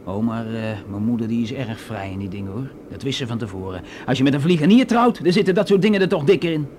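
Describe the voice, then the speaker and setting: Man playing a cocky, lively young man. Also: mid-range voice, fast talker, noisy background, lightly acted. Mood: insinuating. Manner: insinuating, slangy, hesitant. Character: cocky, pushy young man, pilot's son. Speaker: actor in a radio play. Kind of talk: Dutch radio drama acting.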